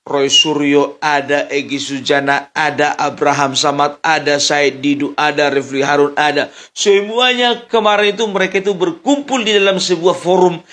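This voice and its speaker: A man's voice: on the high side, normal speed, a bit bright. A man from Sumatra, hosting a casual political commentary monologue, laughing often as he mocks and speaks straight to his viewers. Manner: mocking, laughing often, addresses viewers directly. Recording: noisy background.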